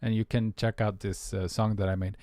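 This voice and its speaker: A man's voice: on the low side, level tone, fairly quick, mellow, dry recording, clean studio sound. A man with a Swedish accent, chatting loosely with viewers on a music livestream, full of fillers and restarts. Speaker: music producer streamer. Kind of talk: casual livestream chat.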